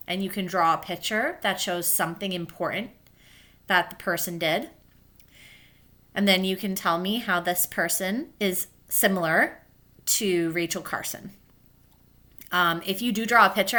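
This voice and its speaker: A woman's voice: medium pitch, bright, forceful tone. A woman with a mid-range voice reads aloud as a teacher would to a class of young children. She moves between the text of the book and her own explanations, keeping her wording simple and speaking directly to her pupils.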